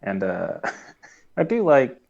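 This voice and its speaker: Male podcast co-host, average pitch, slightly lo-fi sound, hesitant and easily amused casual recap. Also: wildly swooping pitch, takes it slowly, gravelly, darkish tone.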